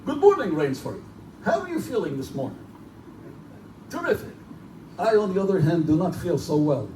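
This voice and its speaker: A man's voice: high-pitched, very animated, normal speed. A man playing a genteel, pompous general who hunts men for sport, in a live theatrical horror drama. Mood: urbane, bored. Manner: urbane, pompous, condescending. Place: live performance in a bookstore. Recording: distant, echoey live room recording with noise.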